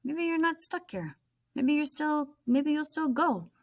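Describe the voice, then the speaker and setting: A woman's voice: sits high, lively intonation, a little slow, pitched above the performer's own voice. A woman voicing a loyal, well-meaning boy from a storybook, coaxing and earnest.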